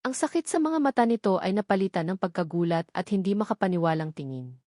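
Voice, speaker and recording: A woman's voice: medium pitch, expressive, fast talker, darkish tone, no echo, clean studio sound. A woman with a Filipino accent reading a scripted romantic drama as an audiobook narrator.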